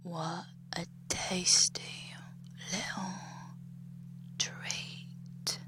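A young woman playing a domineering, cruel bully in an audio roleplay, taunting and crude, swinging between shouts and close whispers. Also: sits high, noisy background.

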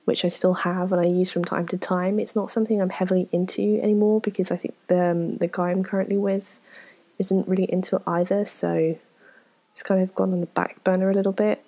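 A woman, answering viewer questions in a frank, self-deprecating, rambling way, full of ums and soft whispered asides. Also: on the low side, little pitch movement, fairly quick. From England. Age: 20s. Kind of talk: casual Q&A chat to camera.

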